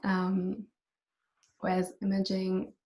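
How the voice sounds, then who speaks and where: A woman whose first language is German, speaking English as an artist guest, thoughtful and halting. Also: mid-range voice, monotone. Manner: thoughtful, halting, soft laughs. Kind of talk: casual conversation.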